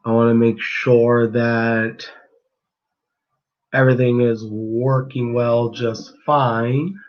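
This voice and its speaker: A man hosts a casual live sports podcast, unhurried and taking his time over each thought. His voice sounds a little worn, and he rambles easily with plenty of ums and restarts. The stream audio is dull and lo-fi. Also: mid-range voice, level tone. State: voice a bit worn after nearly losing it. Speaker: podcast host.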